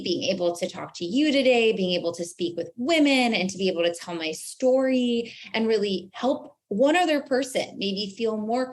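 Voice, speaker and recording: An American woman speaking as an interview guest and branding coach, explaining emphatically and posing rhetorical questions. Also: mid-range voice.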